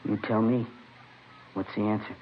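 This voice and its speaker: An actor playing a resigned, brooding murderer in a 1950s radio drama, musing quietly and fatalistically. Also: male voice, fairly low pitch, steady tone, moderate pace, natural voice. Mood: brooding, wistful. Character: resigned, brooding male murderer giving himself up. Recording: dull, noisy old radio recording.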